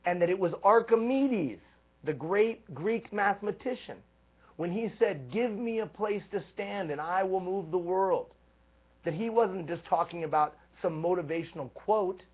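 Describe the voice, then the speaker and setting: A man giving a live leadership coaching talk, energetic and emphatic, stacking repeated phrases. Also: sits high, normal speed, husky. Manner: energetic, emphatic, repetitive build-ups. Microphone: live stream microphone. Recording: tinny phone-like live stream.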